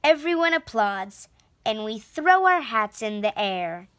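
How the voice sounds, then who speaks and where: High-voiced, slow-paced woman reading a storybook. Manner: expressive, deliberate phrasing. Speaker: storybook reader. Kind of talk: children's read-aloud narration.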